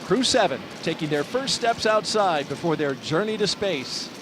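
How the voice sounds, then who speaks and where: A man hosting a live launch broadcast, naming the crew and narrating their walkout in a steady, informative way. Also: high voice, moderate pace, background noise.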